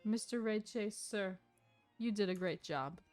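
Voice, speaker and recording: A woman's voice: medium pitch, a little slow, a little mellow. Girl streaming a game, gushy n casual, kinda giggly, bit of music in the back. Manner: gushing, informal, giggly. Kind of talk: casual live stream commentary.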